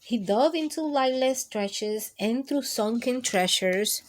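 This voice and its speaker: A woman reads a picture book aloud to small children. Her voice sits a little high and her pace is very slow. She stretches and stresses words expressively, as a storytime host does. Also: lively intonation. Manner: drawn-out, emphatic delivery addressed to small children.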